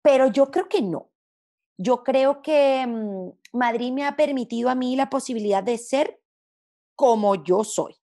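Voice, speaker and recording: Expressive high-voiced woman, slightly creaky. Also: measured pace. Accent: Spanish of mixed Latin American sound, hard to place. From Venezuela. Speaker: interview guest, gastronomy journalist. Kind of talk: reflective conversational interview.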